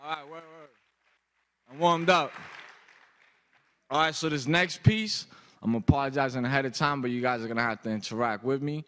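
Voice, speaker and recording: Young man, sharp bright voice, rhythmic emphatic poet's delivery, long auditorium reverb. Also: medium pitch, quick pace, a faint crowd. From Boston, United States. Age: young adult. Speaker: spoken-word poet. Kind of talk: live spoken-word poetry performance. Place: large auditorium stage, indoors. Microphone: microphone on a stand.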